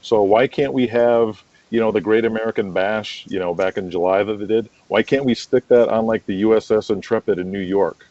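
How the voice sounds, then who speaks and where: A man speaking as a guest in a casual conversational interview, chatty and quick to laugh, on muffled lo-fi audio. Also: fairly low pitch, steady tone, a little mellow, no room sound. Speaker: podcast guest, wrestling promoter.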